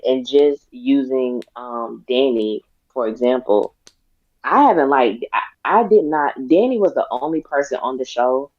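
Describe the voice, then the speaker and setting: A raspy-voiced woman co-hosts a casual talk show, making her points deliberately and with emphasis. The recording is dry and has a dull, lo-fi sound. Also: extremely low pitch, dry recording. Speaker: podcast co-host. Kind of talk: casual discussion.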